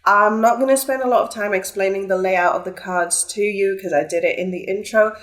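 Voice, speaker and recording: A woman's voice: mid-range voice, a little fast, fairly bright tone. A young woman, a bit stuffy from a flu, chatting upbeat as she gives a pick-a-card tarot reading. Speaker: tarot reader.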